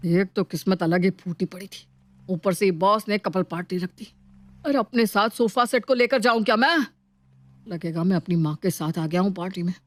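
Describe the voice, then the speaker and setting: A woman's voice: mid-range voice, normal speed, dry recording, lightly acted. A woman voicing a grumpy, self-pitying young husband who complains his way through a domestic story. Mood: grumbling. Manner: complaining, dismissive.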